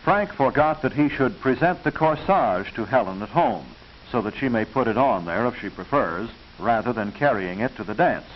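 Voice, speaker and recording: An American man reading scripted narration for a 1940s educational film, instructive and proper, with measured enunciation. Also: medium pitch, lively intonation. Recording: hissy, muffled 1940s film soundtrack.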